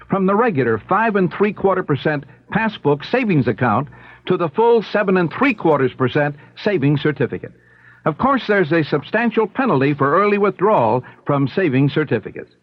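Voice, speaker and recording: A man's voice: fairly high pitch, dry recording. A man working as a radio announcer, reading a scripted advertisement. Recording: dry, slightly dull radio broadcast.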